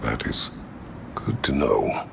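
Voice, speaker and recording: A man playing a stern, weary, middle-aged god of war in a video game, terse and formal, with a gravelly voice. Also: takes it slowly, a bit bright, in a whisper, full character voice. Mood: grave, resolute. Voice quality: gravelly, very deep. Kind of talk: video game cutscene acting.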